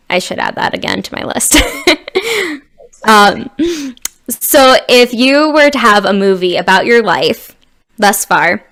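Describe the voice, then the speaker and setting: A woman's voice: mid-range voice, fairly bright tone. A woman hosting an interview, bubbly and affirming, laughing often between questions. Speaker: interview host. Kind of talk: casual interview chat.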